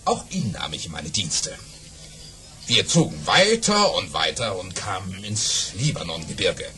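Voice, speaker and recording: Swaggering, theatrical baron telling tall tales. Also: male voice, low-pitched, big pitch swings, pushed and bright, full character voice. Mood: mysterious, boastful. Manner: theatrical, savouring pauses, hesitant fillers for effect. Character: boastful baron spinning tall tales to drinking friends. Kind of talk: German children's audio drama storytelling.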